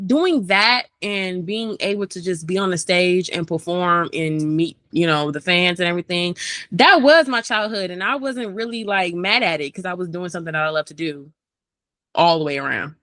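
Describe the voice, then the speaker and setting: Young female rapper, bright and lively, talks fast and loose, laughs easily; dry close mic. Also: on the low side, no echo. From Maryland, USA. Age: young adult. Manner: animated, chatty, laughs often. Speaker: interview guest, rapper. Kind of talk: casual interview chat.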